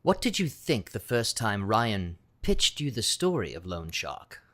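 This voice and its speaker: A man's voice: on the low side, sing-song, a little slow, a bit bright. A man working as an interviewer, putting prepared questions to his guests.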